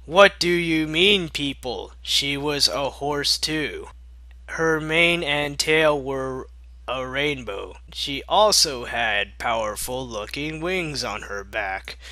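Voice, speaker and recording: A man narrates a fan story at an unhurried pace with a sarcastic edge. His voice is bright and forceful, and the recording is dry. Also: medium pitch, no room sound. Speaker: fanfiction reader.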